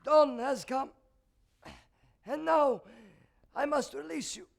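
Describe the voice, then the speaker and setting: A man's voice: very high-pitched, takes it slowly, vocal fry, heavily acted. A man on a theatre stage playing a bitter, tormented old composer, declaiming grandly in a monologue. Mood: bitter resolve. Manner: declamatory, swelling from hushed to shouted. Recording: live theatre stage, music and audience behind.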